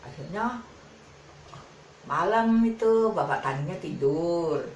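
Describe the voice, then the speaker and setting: A woman's voice: lowish voice, expressive, slow pace, a little mellow. A woman telling a children's tale informally, in a colloquial and repetitive way. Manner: colloquial, repetitive, with sound effects. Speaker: storyteller. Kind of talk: informal improvised children's storytelling. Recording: roomy echo with faint background noise.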